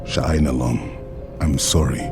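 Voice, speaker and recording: A very deep-voiced, big soldier from a video game, speaking slowly. He is protective and solemn, gentle in manner, with music behind him. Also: fairly even intonation, creaky, exaggerated voice. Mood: soft, solemn. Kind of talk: video game cinematic acting.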